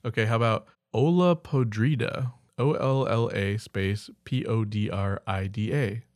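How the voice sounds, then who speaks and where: A man with a fairly low voice chats casually as a podcast co-host. He rambles through word lists with offhand, humorous asides, recorded dry and close. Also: unhurried, a little mellow, no room sound.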